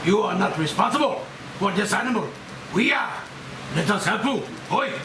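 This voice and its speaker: Earnest monster-movie scientist played by a man, high-pitched, clipped broken English, echoey room with hiss. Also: expressive, moderate pace. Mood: earnest, insistent. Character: Japanese scientist doctor, earnest expert on a mutated monster. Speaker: actor at a screenplay table read. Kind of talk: table read of a monster movie. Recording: roomy, distant mic, air-conditioning hiss.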